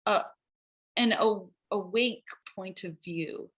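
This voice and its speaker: A woman's voice: medium pitch, slow pace, a bit bright. Thoughtful woman guest laying out points. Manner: thoughtful, structured, lays out points in order. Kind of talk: casual conversational interview.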